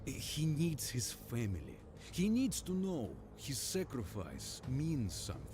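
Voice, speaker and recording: A man playing a solemn, compassionate mentor in an audio drama, speaking in a measured, weighty way. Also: mid-range voice, huge pitch swings, background noise. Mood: solemn, resolute. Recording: loud music under the voice.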